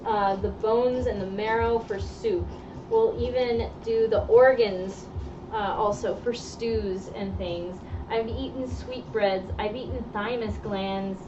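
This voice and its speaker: A young woman chatting casually on a live stream while sewing, opinionated and quick to laugh. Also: a little high, far from the mic, highs cut off, background noise. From Argentine heritage. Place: indoor sewing workroom.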